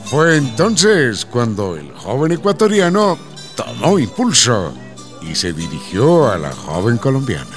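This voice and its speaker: A man narrating a radio drama from a script in a theatrical, drawn-out delivery. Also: mid-range voice, huge pitch swings, music in the background.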